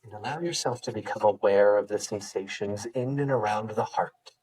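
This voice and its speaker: A man teaching yoga, leading a guided meditation with gentle, unhurried instructions and pauses. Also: lowish voice, slightly rough, recorded from a distance, poor audio.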